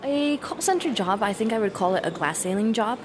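A woman's voice: medium pitch, lively intonation, fairly quick, background noise. A woman working as a recruitment director, explaining her program thoughtfully in an interview and restarting as she searches for words.

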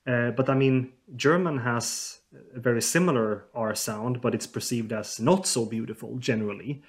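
A man's voice: mid-range voice, normal speed. A man from southern Sweden, a linguist guest, giving thoughtful, explanatory interview answers with frequent hesitations. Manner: thoughtful, hesitant with many fillers.

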